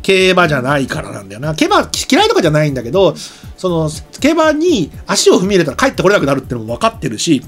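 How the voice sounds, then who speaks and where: A man's voice: fairly high pitch, huge pitch swings, quick pace. A man in his forties from regional Japan, chatting casually and enthusiastically as an anime talk show co-host, with a discursive manner. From regional Japan, outside Tokyo. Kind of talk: casual chat about anime. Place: small streaming studio, indoors. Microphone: desk microphone on boom arm.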